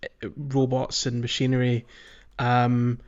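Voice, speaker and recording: A man co-hosting a casual podcast discussion, talking in a halting, rambling way and often repeating words. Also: mid-range voice, completely flat delivery, darkish tone, clean tone, dull audio. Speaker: podcast co-host.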